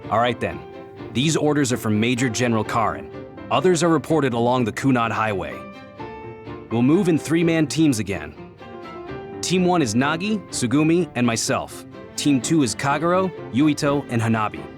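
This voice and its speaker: A man voicing a calm, considerate squad captain in an anime-style video game, with a measured, authoritative delivery. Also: medium pitch, over music, close to an everyday voice. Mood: brisk, commanding.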